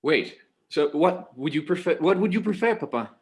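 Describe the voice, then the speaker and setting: Man playing exasperated son, higher-pitched voice. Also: animated, moderate pace, natural voice. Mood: weary, pleading. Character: exasperated, dutiful forty-year-old son. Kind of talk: naturalistic screenplay table read acting.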